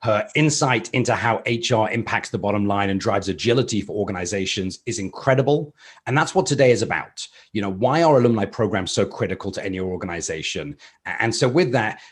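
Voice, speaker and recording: A man's voice: mid-range voice, brisk, breathy edge. A man hosting a business webinar, giving a polished, upbeat, prepared welcome with the odd filler before introducing his guest. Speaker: webinar host, company chief executive.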